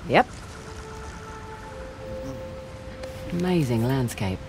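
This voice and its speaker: A woman's voice: a bass voice, very animated, moderate pace, over music, heavily acted, poor audio. A woman playing a confident adult treasure hunter and thief in a video game, with dry, breezy, teasing banter. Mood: wry, focused. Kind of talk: naturalistic video game acting. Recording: game ambience and vehicle noise behind.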